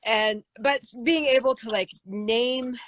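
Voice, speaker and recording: A woman singer-songwriter with a low voice, answering interview questions thoughtfully, with many fillers and restarts. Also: steady tone, measured pace, fairly bright tone, no echo. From United States.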